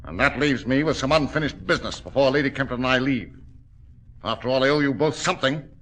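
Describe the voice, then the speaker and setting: An actor playing a cold, cruel villain with polite, formal menace in 1940s radio style. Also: male voice, medium pitch, fast talker, fairly bright tone, lightly acted. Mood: smooth menace. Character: suave, cold, cruel male villain with a pistol. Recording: old 1940s radio recording.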